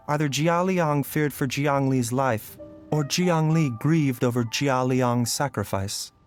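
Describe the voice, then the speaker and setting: Man voicing a calm, philosophical young doctor. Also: mid-range voice, close to an everyday voice. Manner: calm, measured, reflective.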